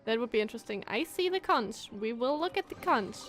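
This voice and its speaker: A woman gives casual gameplay commentary as a streamer. Her voice is fairly high and she reacts emotionally and exclaims often. Faint game music runs behind her. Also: animated, a little fast, fairly bright tone.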